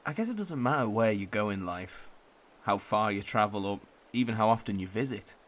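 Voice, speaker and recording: A young man acting a wistful, homesick twenty-two-year-old in a gentle, reflective radio drama monologue. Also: lowish voice, expressive, brisk. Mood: warmly nostalgic.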